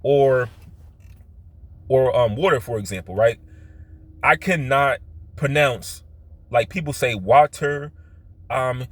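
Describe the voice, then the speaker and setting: A man with a Philadelphia accent and heavily stressed O's, telling personal stories in a rambling, halting way that often circles back and repeats. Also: medium pitch, no echo. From Philadelphia, United States. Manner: stresses his O vowels; halting, repetitive, circles back to his point. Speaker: vlogger.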